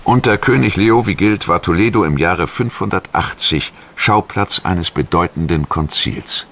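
A man reading scripted documentary narration in a measured, formal manner, as a studio voice-over. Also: deep voice, fairly bright tone. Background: music.

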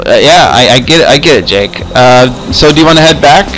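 A man's voice: mid-range voice, lively intonation, fairly quick, a bit bright, a little hiss or hum, natural voice, rough recording. A man playing a curious, polite boy adventurer in an improvised game roleplay, stammering and repeating words. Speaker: host of a Minecraft roleplay series.

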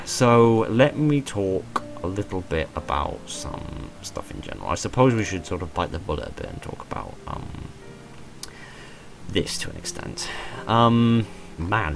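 Brief: young man, deep and hoarse, halting self-deprecating monologue, faint music underneath. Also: big pitch swings. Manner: hesitant, self-deprecating, repeats words. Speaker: channel creator. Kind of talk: rambling personal monologue. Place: small room at home.

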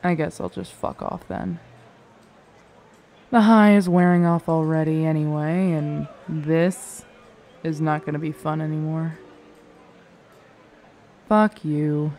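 A woman's voice: sits low, fairly even intonation, slow, long pauses, dark tone, slight background noise. A woman playing a cynical, threatening vampire in an audio roleplay, with a blunt, drawling delivery. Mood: menacing, irritated.

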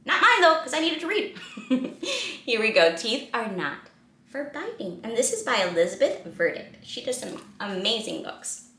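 A woman's voice: fairly high pitch, very animated, moderate pace, bright, forceful tone. A young adult woman reads aloud interactively to small children, with exaggerated expression and questions put to the listeners. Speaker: children's read-aloud host. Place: small indoor room at a desk. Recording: roomy indoor echo.

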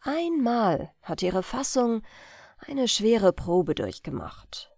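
A woman reading an old-fashioned family tale as an audiobook narrator, in carefully phrased, expressive storytelling. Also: mid-range voice, slow, gravelly, husky, dry recording, clean studio sound. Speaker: audiobook reader.

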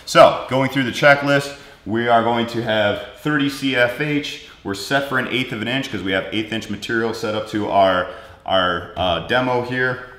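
A man's voice: mid-range voice, moderate pace, fairly bright tone. Man teaching welding in an echoey workshop. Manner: practical, direct, explains step by step to the viewer. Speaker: welding instructor. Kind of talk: hands-on teaching demo. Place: large welding workshop indoors. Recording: roomy workshop echo.

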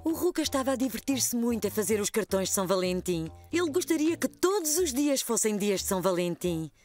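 A woman narrating a children's cartoon in a gentle storytelling manner, with a European Portuguese accent. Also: on the high side, very animated, fairly quick, soft background music.

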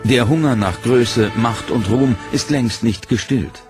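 A man giving scripted documentary narration in a measured, serious storytelling manner. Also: medium pitch. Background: dramatic music.

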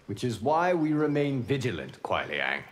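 A wise guardian god acted by a man in a fighting game. His voice is calm and grave, medium in pitch, with expressive rises and falls. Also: normal speed, natural voice. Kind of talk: video game fight intro dialogue.

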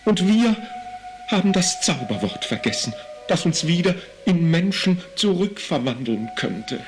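High-pitched fretful stork vizier. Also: male voice, unhurried, over music. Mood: plaintive. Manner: hesitant, excitable, comically fretful. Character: timid, grumbling grand vizier turned into a stork. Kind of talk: German children's puppet theatre acting.

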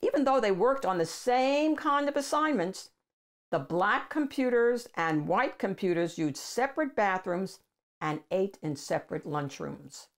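Older woman reading aloud with deliberate emphasis. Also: medium pitch, expressive. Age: older, 60s or above. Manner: deliberate, emphatic phrasing for children. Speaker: children's read-aloud host. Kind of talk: read-aloud picture book narration. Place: small room at home with bookshelves.

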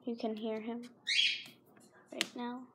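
A woman's voice: a little high, level tone, slow, heavy background noise. A teenage girl with a sore throat, rambling and apologizing in a casual pet vlog, often half-whispering, filmed on a handheld phone at home. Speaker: vlogger. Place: carpeted home room, indoors. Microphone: handheld phone camera.

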